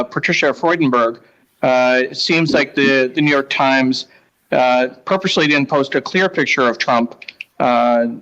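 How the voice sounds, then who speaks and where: Halting male host, dull lo-fi sound. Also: medium pitch, fairly bright tone. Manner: halting, pausing between short phrases. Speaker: host of a live newspaper read-along. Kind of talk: casual live show hosting, reading out viewer comments.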